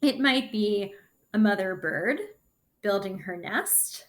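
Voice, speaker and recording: A woman teaching kindergarten children in a read-aloud lesson, explaining things simply and deliberately in short phrases. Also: medium pitch.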